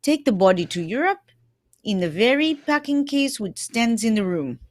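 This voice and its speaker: A woman's voice: fairly high pitch, very animated, moderate pace. A woman playing a shrewd, courteous male detective in an old-time radio mystery, explaining things theatrically. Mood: explaining, triumphant.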